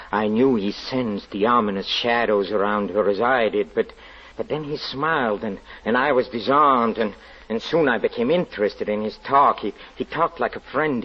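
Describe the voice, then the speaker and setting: A man acting in an old radio drama as a trusting carnival magician who haltingly tells of his own undoing, repeating words as he goes. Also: lowish voice, husky. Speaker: narrator and lead actor. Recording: dull old radio recording, music behind.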